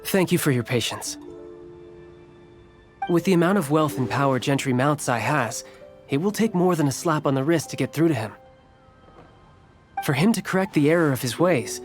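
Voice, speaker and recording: A courtly young bookish nobleman, played by a man with a highish male voice. He speaks with formal, articulate politeness and composure, as in game dialogue with music behind him. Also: expressive, normal speed, pauses often, lightly acted. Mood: calm, scheming.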